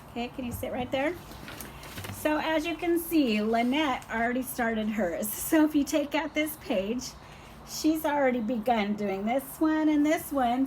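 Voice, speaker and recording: A slow-paced older woman with a somewhat high voice leads a young child through a lesson, patient and encouraging. Her voice is hoarse and fading. Faint outdoor sounds are heard behind her. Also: slight background noise, rough recording. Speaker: teacher.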